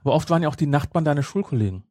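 A man's voice: fairly high pitch, expressive, racing, mellow, no echo, clean studio sound. A middle-aged man co-hosting a podcast in casual, amused banter, often rephrasing as he goes. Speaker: podcast co-host. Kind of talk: casual banter about an audio drama series.

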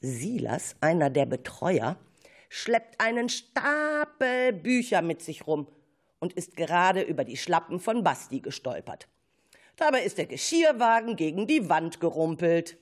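A woman with a lowish, husky voice narrates a children's story. Her delivery is slow and expressive, slipping into playful asides.

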